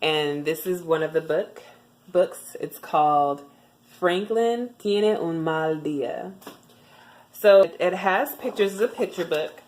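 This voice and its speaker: Low-voiced woman, chatty tutorial vlog. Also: slow pace, darkish tone. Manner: chatty, with frequent ums and restarts. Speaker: vlogger sharing language tips. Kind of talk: casual tutorial talk to camera.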